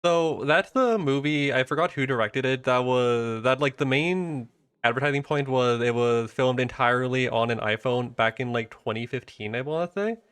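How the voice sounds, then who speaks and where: A man hosting a casual live interview, halting as he goes and often repeating his questions. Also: medium pitch, a bit bright, clear, resonant tone. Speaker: interviewer, stream host. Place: live online stream.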